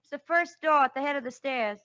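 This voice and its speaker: Older rooming-house landlady, high sharp voice, folksy and complaining, vintage radio drama. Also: a little fast. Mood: fussy, complaining. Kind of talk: 1940s radio character acting.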